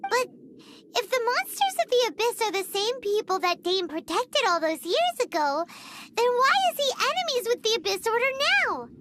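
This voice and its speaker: A chirpy little fairy companion from a game. Her voice sits very high and swings widely as she chatters. Loud noise runs under the recording. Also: moderate pace. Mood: puzzled. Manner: high, excitable, speaks of herself in third person. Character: tiny chirpy fairy companion, curious and talkative. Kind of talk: game cutscene voice acting.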